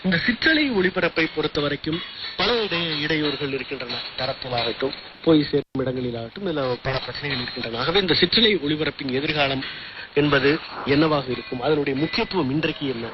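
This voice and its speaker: A male correspondent with an Indian Tamil accent puts interview questions in a slightly high voice. The sound is a muffled shortwave broadcast, thick with noise. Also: fairly quick.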